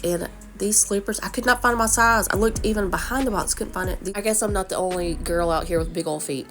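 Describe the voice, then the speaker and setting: A woman's voice: on the low side, vocal fry. A woman in her thirties, stuffy from a cold, chatting upbeat about products in a casual shopping vlog voice-over. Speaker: vlogger.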